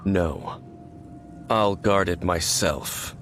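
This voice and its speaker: An actor playing a stoic, guarded, world-weary warrior in a video game, speaking in a measured, formal way. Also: male voice, low-pitched. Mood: firm, distrustful. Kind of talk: video game character acting. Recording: faint noise and ambience behind.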